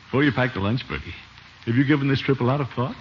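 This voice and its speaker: Man playing pompous middle-aged uncle, blustery, very animated, old radio sitcom, muffled noisy recording. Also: mid-range voice, quick pace, close to an everyday voice. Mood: hesitant, worried. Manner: blustering, hesitant, exclaiming. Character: pompous, soft-hearted middle-aged uncle and household head. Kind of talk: 1950s radio situation comedy acting.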